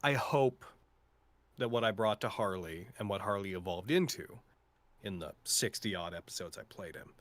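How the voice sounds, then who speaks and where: A man who works as a voice actor, talking casually and reflectively in a talkative, expressive way. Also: on the low side, moderate pace, no echo. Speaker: voice actor in an audio drama. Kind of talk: casual reflective cast chat.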